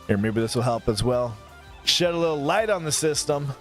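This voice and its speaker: A man's voice: medium pitch, lively intonation, normal speed, faint music behind. A man in his mid-thirties chatting casually on a live stream, rambling between topics and thanking viewers by name. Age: 30s.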